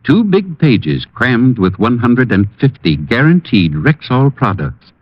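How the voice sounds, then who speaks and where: A man reading a scripted 1940s radio advertisement as a friendly-druggist pitchman, with emphatic, measured salesmanship. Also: very animated, dry recording. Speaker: commercial pitchman playing a family druggist. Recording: dull old radio broadcast recording.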